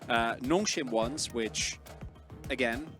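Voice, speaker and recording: Young guy breaking down esports matches, rambly, fixes himself mid-sentence, music loud behind. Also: mid-range voice, slow pace. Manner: rambling, self-correcting analytical talk with frequent fillers. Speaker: esports caster. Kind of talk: casual esports analysis.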